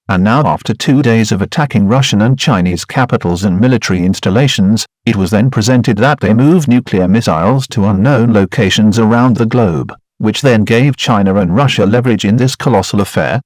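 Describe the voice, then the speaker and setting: A man's voice: lowish voice, darkish tone, dry recording, studio quality, lightly acted. A man playing a wartime news anchor, reading scripted reports in a formal, matter-of-fact manner.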